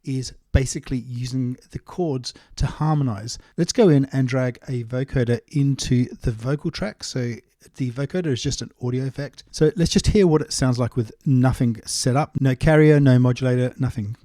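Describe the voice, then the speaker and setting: A man giving a casual, step-by-step software tutorial, explaining things as he goes. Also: medium pitch, normal speed, no room sound, clean studio sound. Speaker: music production tutorial presenter.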